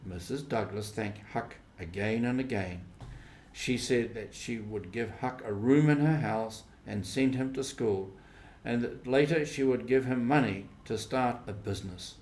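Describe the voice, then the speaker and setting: A man narrates a storybook at a slow, measured pace. His mid-pitched voice places each short phrase deliberately, as an audiobook reader does. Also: darkish tone. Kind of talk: scripted storybook narration, simplified classic novel.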